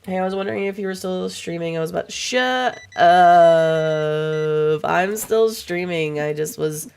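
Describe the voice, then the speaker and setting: A young woman streaming a game, chatting casually to her viewers with a voice still hoarse and froggy from a cold, rambling and swearing freely. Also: on the low side, a little slow, a little mellow. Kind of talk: casual livestream chat while gaming.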